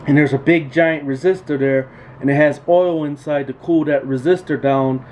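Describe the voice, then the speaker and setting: A man's voice: a little high, normal speed. A man giving an informal, unscripted tutorial as a repair technician, plain-spoken and repetitive, with emphatic practical advice. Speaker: radio repair technician hosting a tool tutorial. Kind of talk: informal unscripted instructional talk. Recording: steady background noise, room ambience.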